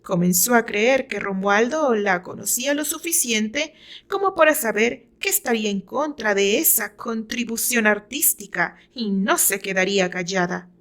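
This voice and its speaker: A woman's voice: fairly high pitch, expressive, moderate pace, fairly bright tone. A woman with a Mexican accent reading her own fiction aloud as dramatic scripted narration.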